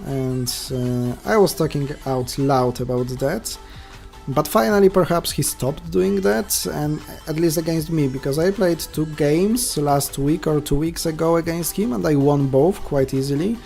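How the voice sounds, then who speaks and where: A man's voice: a little high, a little slow, vocal fry, a little mellow, soft background music. Polish-accented man commenting his live games. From Poland. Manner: thinks aloud, chatty asides to viewers. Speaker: checkers streamer.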